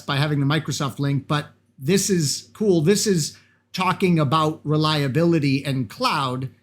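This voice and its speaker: Chatty, upbeat man with a Massachusetts accent. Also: on the high side. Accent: Boston-area Massachusetts. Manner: chatty, enthusiastic, reads questions aloud. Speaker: IT trainer demoing practice exam software. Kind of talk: casual screen-recorded software walkthrough.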